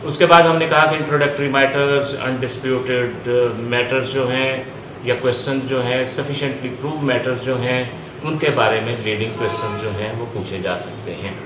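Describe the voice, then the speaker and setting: A man's voice: mid-range voice, fairly even intonation, moderate pace. A man giving an explanatory law lecture, going step by step and repeating key points as he teaches. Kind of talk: explanatory lecture mixing Urdu and English. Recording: echoey room, faint background noise.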